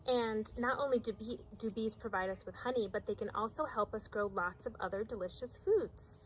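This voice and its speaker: A woman with a slightly high voice leads a read-aloud lesson for young children. She speaks in simple, teacherly phrases and poses prompting questions. A faint layer of noise sits behind her. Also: moderate pace, poor audio. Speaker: teacher and host reading a children's book aloud.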